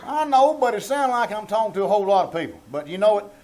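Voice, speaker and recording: Man playing a high-pitched, officious country old-timer. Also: very animated, fast. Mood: pompous. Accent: rural Southern American. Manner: folksy, officious, mock-formal. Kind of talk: old-time radio comedy reenactment on stage. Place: small-town theater stage before an audience. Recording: live theater recording with background noise.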